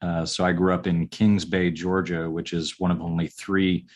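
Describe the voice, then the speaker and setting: A man in his forties, an architect, talking about his schooling in a relaxed, halting way with many pauses and restarts. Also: low-pitched. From upstate New York. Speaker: architect, podcast guest. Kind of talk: casual interview answers.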